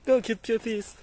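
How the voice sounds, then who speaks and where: Man, French native, high voice, very lively, giggly, outdoor handheld camera. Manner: giggly, coaxing, laughs often. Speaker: vlogger. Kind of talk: casual chat while hiking. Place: outdoors on a dirt hillside path. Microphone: handheld camera filming while walking.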